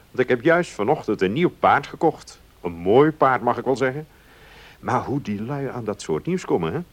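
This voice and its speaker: Man acting genial well-off gentleman, lively varied pitch, curious, measured, polite, radio play. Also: natural voice. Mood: pleasant, musing.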